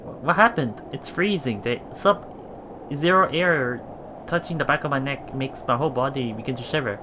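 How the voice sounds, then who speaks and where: A man reading a visual novel aloud for a Let's Play, expressive and dramatic in first-person narration. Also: mid-range voice, moderate pace, noisy background. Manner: expressive, dramatic, with murmured reactions.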